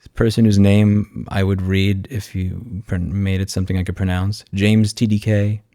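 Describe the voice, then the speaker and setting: A man's voice: low-pitched, little pitch movement, moderate pace, warm tone, no echo, studio quality. A man speaking off the cuff as a video host, blunt and sardonic, in an informal advocate's monologue. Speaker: YouTube host and consumer rights advocate. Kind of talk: unscripted vlog monologue, screen walkthrough.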